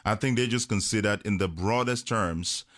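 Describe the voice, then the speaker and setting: A man from Cameroon, a parish priest chatting on a radio call-in show, talking slowly with many fillers and chuckles. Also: lowish voice, fairly bright tone, no echo, clean studio sound. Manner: slow, hesitant, many fillers, chuckles often. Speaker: priest guest. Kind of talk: casual radio chat. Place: radio studio.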